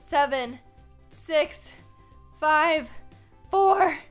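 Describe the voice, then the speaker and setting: A woman in her twenties with an American accent, giving excitable, playfully dramatic gameplay commentary and chatting casually to viewers at a close mic in a small room. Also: sits high, extremely slow, faint music behind. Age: 20s. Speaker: gaming video host. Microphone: desk microphone, close.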